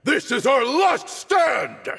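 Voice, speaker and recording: Gravelly, grandiose desert warlord. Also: male voice, very high-pitched, lively intonation, takes it slowly, a bit bright, shouting, long reverb tail, heavily acted. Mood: rallying, fierce. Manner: grandiose, theatrical speech. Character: proud, fanatical male desert mercenary commander, defiant to death. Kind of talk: video game voice acting. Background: music.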